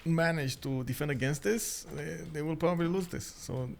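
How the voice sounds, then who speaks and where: A man from Romania with a Romanian accent, hosting a stream and commentating a strategy game in chatty, halting talk that breaks into frequent laughs. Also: a little high, vocal fry. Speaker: esports caster and stream host.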